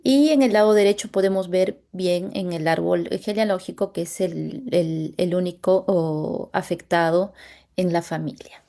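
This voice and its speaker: A Latin American woman with a gravelly, dark and mellow voice walks through a medical case as a dermatologist. She speaks haltingly, often pausing to find the right word, over a video call. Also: on the low side. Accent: Latin American Spanish. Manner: halting, pauses to search for words. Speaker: dermatologist presenting a clinical case.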